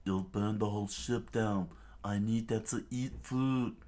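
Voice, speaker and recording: A man's voice: lowish voice, steady tone, a little slow, dark tone, lightly acted. A man voicing a stern pirate captain in an anime story, scolding and commanding with exasperation. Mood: quiet exasperation.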